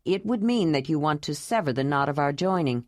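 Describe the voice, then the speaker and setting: A woman voicing an earnest, loving young man in an audiobook, lowering her voice to speak calmly. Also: fairly quick, no echo, studio quality. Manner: low, calm and earnest.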